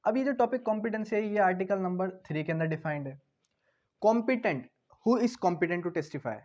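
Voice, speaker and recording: A Pakistani-accented man delivers an explanatory lecture in a noticeably high voice. He teaches step by step, repeating terms and asking questions before answering them himself. The recording is somewhat dull and lo-fi. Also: normal speed, a little mellow. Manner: didactic, repetitive, asking and answering own questions. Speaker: law teacher. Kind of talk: explanatory lecture mixing Hindi-Urdu with English legal terms.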